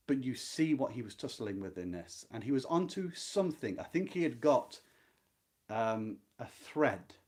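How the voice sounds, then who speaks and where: A man's voice: on the low side, a little mellow. A man hosting a book club, talking informally and live about literature in a reflective, earnest and emphatic way. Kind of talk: informal live talk about a classic novel.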